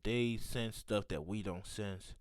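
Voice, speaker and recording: A man's voice: lowish voice, slow pace, no echo, studio quality. A man giving casual, slangy live reactions as a video host, with short interjections and asides.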